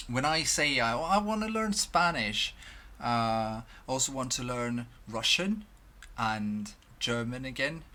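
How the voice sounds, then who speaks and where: A young Swedish man talking to his webcam in English, halting with many restarts and fillers. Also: medium pitch, pushed and bright. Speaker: vlogger. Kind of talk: casual talk to camera. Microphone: headphones, desk mic at webcam.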